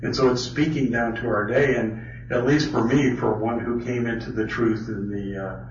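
A man teaches a Bible study in a conversational way, thinking out loud and restarting sentences as he goes. His voice sits at an ordinary middle pitch and is caught by a distant mic in an echoey hall. The sound is a little dull. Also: fairly even intonation, moderate pace, a little hiss or hum. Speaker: Bible study speaker leading a question session. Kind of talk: informal teaching talk. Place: meeting hall with an audience.

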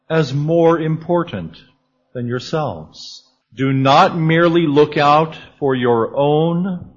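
Measured male discussion leader with a husky voice, slow delivery. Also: medium pitch, highs cut off. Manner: measured, calls on others by name. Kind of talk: church panel discussion.

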